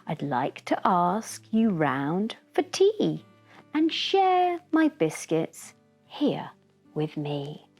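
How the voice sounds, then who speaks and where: A woman voicing a proud male dragon in a rhyming children's story, speaking grandly and deliberately. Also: fairly low pitch, huge pitch swings, a little mellow, soft background music, full character voice. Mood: friendly, gracious.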